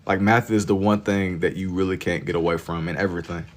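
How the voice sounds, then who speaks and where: A man in his twenties hosting a livestream, chatting casually with viewers in laid-back slang and getting loud and laughing when teased. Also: sits low, steady tone, fast. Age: 20s. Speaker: livestream host. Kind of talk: casual chat with viewers while drawing.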